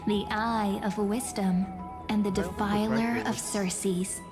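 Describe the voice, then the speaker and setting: Slow, mysterious female fortune teller by an actress. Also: medium pitch, fairly even intonation, natural voice. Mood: mysterious, measured. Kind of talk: scripted game dialogue. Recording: long hall reverb over music.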